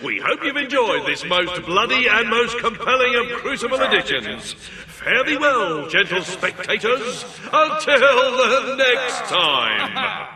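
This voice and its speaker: An actor playing a flamboyant, excitable arena announcer in a fantasy game, with pompous, theatrical commentary. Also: male voice, very high-pitched, full character voice. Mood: grand. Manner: pompous, grandiose, drawn-out delivery. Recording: echoey, distant, under loud music.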